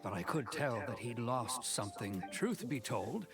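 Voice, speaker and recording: Sober villager over loud music. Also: male voice, medium pitch, sing-song, measured pace. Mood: concerned. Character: sober, concerned male villager in a fantasy game. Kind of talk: animated fantasy game voice acting.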